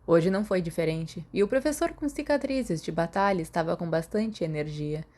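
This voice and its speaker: Low, mellow Brazilian woman narrating. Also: expressive, moderate pace. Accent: Brazilian Portuguese. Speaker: podfic reader. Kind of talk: audiobook narration of fan fiction.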